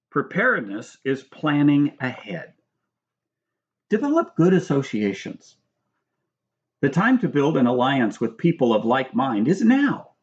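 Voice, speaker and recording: A middle-aged American man reads his own nonfiction book aloud in a measured, instructive manner, adding spoken asides. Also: on the high side, muffled recording. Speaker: author reading own book. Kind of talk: audiobook-style reading of nonfiction with spoken asides.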